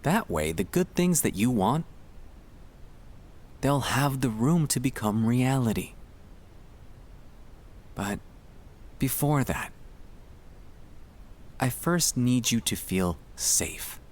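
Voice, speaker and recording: A man speaking in a gentle, soothing and reassuring way, guiding relaxation in an ASMR style with pauses between short phrases. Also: mid-range voice, big pitch swings, slight background noise. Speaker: ASMR sleep-aid performer.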